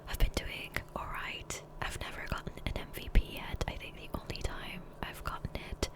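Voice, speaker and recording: A woman speaking in a soft, breathy whisper close to the microphone, rambling casually and self-deprecatingly as an ASMR gaming creator. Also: moderate pace. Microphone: close USB condenser microphone.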